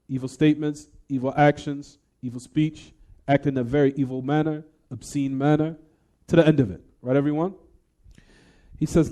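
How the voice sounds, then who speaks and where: A man with a fairly high yet soft, mellow voice delivers a religious lecture. He explains texts in a didactic way, repeating key phrases and posing questions to those listening. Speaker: religious teacher giving a lecture.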